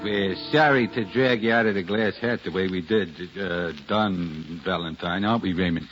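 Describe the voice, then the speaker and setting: A man's voice: low-pitched, normal speed, music in the background, natural voice. An actor playing a blunt, suspicious gangster boss with uneducated tough-guy talk, in a 1940s radio crime drama. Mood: sardonic, self-important. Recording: muffled, noisy old radio recording.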